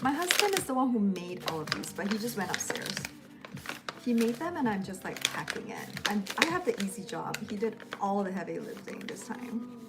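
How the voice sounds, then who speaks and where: A woman chatting casually on a livestream from home as a home cook, rambling in a relaxed way while she packs and snacks. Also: medium pitch, moderate pace, very noisy. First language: English. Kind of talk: casual live-stream chat. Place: home, indoors. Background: lo-fi background music.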